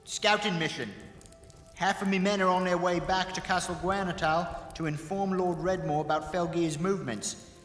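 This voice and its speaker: An actor playing a deferential, evasive army sergeant in a fantasy audio drama, respectful and slightly halting. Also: male voice, high voice, fairly even intonation, a bit bright. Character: deferential soldier sergeant, smooth-talking and evasive. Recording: echoey cavern-like reverb, music behind.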